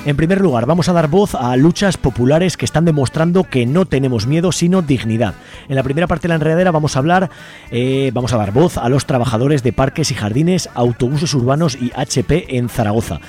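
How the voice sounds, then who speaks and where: A man's voice: medium pitch, lively intonation, brisk, darkish tone. A man with an accent from Spain hosting a community radio show, reading partly from a script. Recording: music behind the voice. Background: music.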